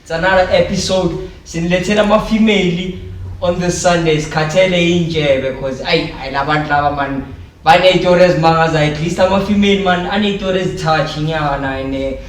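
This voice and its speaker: A man with a South African accent co-hosting a podcast, lively and slangy, switching between languages. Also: on the high side, brisk. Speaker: podcast co-host. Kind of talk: casual podcast banter. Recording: roomy, distant mic, faint noise.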